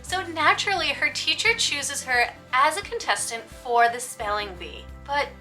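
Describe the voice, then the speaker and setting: A woman presents book recommendations in an upbeat, scripted style. Her voice sits fairly high and is very bright, with presenter-like stress on key words. Music plays behind her. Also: expressive, moderate pace. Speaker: book reviewer and host for teachers. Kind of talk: upbeat scripted book review narration to viewers.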